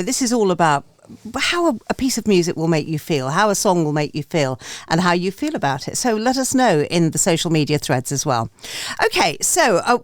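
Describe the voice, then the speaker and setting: A woman's voice: mid-range voice, no echo, clean studio sound. A woman hosting a casual, chatty panel show. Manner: chatty, addresses people as darling. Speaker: radio show host.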